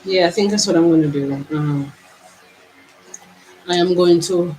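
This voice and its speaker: A woman from Jamaica, a spiritual vlogger, musing aloud to her livestream viewers in halting phrases, with many restarts and drawn-out words. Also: on the low side, mellow, slight background noise, low-quality recording. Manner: halting, with frequent restarts and stretched, slowed phrases. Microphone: camera mic.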